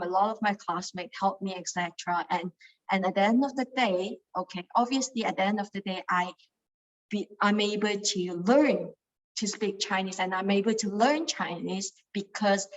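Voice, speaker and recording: Young Malaysian woman, Cantonese native, speaking accented English in a halting, filler-heavy personal share. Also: mid-range voice, low-quality recording. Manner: hesitant, many fillers and repeated words. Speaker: study group member sharing experience. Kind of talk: personal sharing talk.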